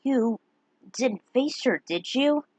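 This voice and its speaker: A woman reads as a young nobleman in a fantasy story, chummy and caring. The delivery is unhurried and lightly husky. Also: on the high side, lively intonation, darkish tone, dry recording, pitched above the performer's own voice, heavily acted, poor audio. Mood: concerned. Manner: friendly, concerned. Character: young nobleman, hero's friendly, chummy male buddy.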